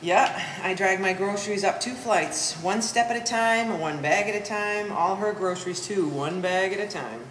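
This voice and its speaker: A woman's voice: mid-range voice, normal speed, few pauses, fairly bright tone, lightly acted. A woman playing a bitter, sardonic Polish-American daughter of sixty with pompous vocabulary, in a staged play reading. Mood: dry. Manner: dry, pompous big words. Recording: echoey room, faint background noise.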